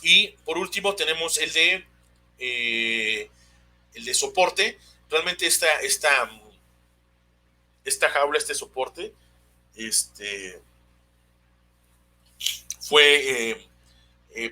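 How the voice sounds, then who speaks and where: A man's voice: fairly high pitch, lively intonation, slow, long pauses, sharp tone. A man with a Mexican accent explaining technical material as a webinar instructor, unscripted, hesitant and often searching for words. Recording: noisy webinar audio with hum.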